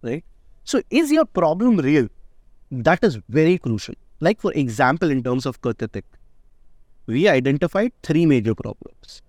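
A man's voice: a little high, very animated, normal speed, darkish tone, no room sound, studio quality. A man, a startup founder, answering interview questions in a casual, emphatic way and often asking "right?". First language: not Indonesian. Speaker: startup founder and CEO, guest.

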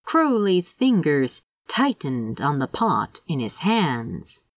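A woman reading a story aloud in an expressive, wry and conversational way. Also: low voice, slow pace, no echo. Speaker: fan fiction narrator. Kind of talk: expressive scripted story narration.

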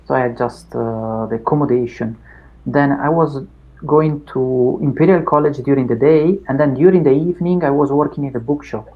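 A middle-aged man with an Italian accent, Italian his first language, talking through his past in an unhurried interview with many fillers and restarts. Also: medium pitch, fairly even intonation, narrow, tinny audio. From Italy. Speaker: academic guest. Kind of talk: reflective interview chat.

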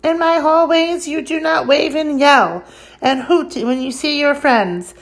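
A woman voicing a gruff, strict male drill sergeant in a storybook, scolding children in shouted, clipped commands. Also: high-pitched, unhurried, voice pushed up. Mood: furious. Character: gruff, strict army drill-sergeant man berating schoolchildren. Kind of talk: acted storybook character voice.

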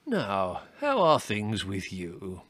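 Guy doing a posh-mannered old boss rabbit from a storybook, drawly, condescending, pitch wandering all over, dry sound. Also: huge pitch swings, slow pace, a bit bright, no room sound, heavily acted. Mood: gracious, vague. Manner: drawling, over-polite, unruffled, with musing repetitions. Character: aging male chief rabbit, urbane, detached, condescendingly polite.

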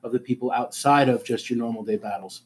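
A middle-aged man, a high school principal, talking about himself in a casual interview, earnest and often restarting his sentences. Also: fast, breathy edge. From born in Alabama, raised in Germany.

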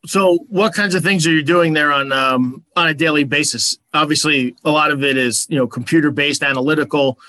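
A man's voice: fairly high pitch, fairly even intonation, fairly quick, hardly any pauses, sharp tone, no room sound. A man interviewing a baseball coach, asking conversational, leading questions. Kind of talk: sports interview.

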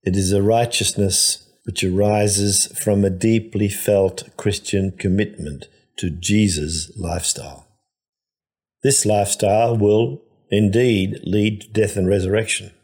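A man giving a scripted devotional narration in a measured, reflective way, with a husky voice. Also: deep voice, darkish tone.

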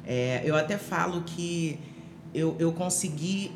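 A woman's voice: on the low side, takes it slowly. A woman from Rio de Janeiro, speaking, giving her personal testimony as a podcast guest with many fillers and restarts. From Rio de Janeiro, Brazil. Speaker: interview guest. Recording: echoey room with music behind.

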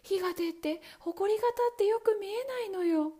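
A woman's voice: very high voice, normal speed, warm tone, pitched above the performer's own voice. A woman voicing a young sister who calls down answers in a lightly raised voice. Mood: calling. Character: calm older sister keeping watch.

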